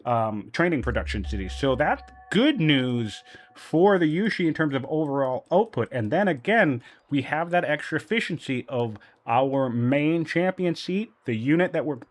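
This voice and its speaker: A man with a somewhat high voice casts a strategy game match, reasoning through unit counts and turn timings out loud. He pads his thoughts with casual fillers, and his voice is still a little sniffly from a recent cold. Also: lively intonation, moderate pace. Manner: analytical, thinking aloud with fillers. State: slightly sniffly after a cold. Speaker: tournament caster.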